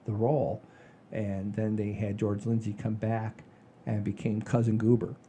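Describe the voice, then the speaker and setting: An older man hosting a podcast, telling stories in a folksy, rambling, animated way with a husky voice. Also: on the low side, a little slow, a little hiss or hum. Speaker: podcast host. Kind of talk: casual chat and storytelling.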